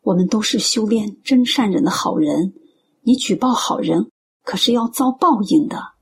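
An actress playing a gentle, middle-aged believer in a radio drama, earnestly trying to persuade. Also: female voice, medium pitch, expressive, normal speed, vocal fry, slight background noise. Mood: earnest persuasion.

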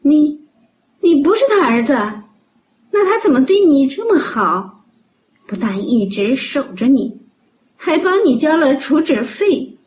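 一位女演员在广播剧里饰演一位干练、安抚人的医院女医生。. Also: female voice, a little high, lively intonation, measured pace. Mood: surprised. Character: brisk reassuring woman hospital doctor. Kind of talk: audio drama acting.

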